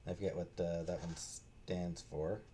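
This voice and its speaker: A man giving a casual, unscripted book talk in a halting way, searching for his words. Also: low voice, steady tone, mellow, slight background noise. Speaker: book reviewer, host.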